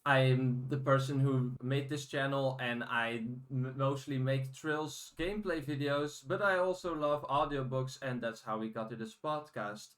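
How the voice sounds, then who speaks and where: A man's voice: mid-range voice, a little slow, few pauses, a bit bright. A man hosting a podcast in a casual, informal and blunt chatting style. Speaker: podcast host.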